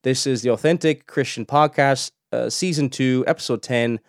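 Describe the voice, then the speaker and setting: Brief: young male host, mid pitch, dry close sound, chatty commentary. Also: normal speed, dry recording. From Cork, Ireland. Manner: chatty, restarts often, laughs in asides. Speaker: podcast host. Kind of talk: casual commentary.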